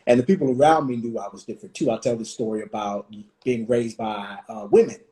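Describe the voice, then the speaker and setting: A man in his forties from Washington, D.C., hosting a reflective conversation, thoughtful and full of pauses and restarts. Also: mid-range voice, dark tone. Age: 40s. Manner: thoughtful, many fillers and restarts. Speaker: host.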